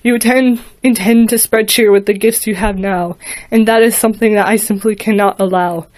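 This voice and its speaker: A woman voicing a cold, cruel male dark lord who makes slow, booming threats in a storybook reading. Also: fairly even intonation, no room sound, close to an everyday voice. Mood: menacing.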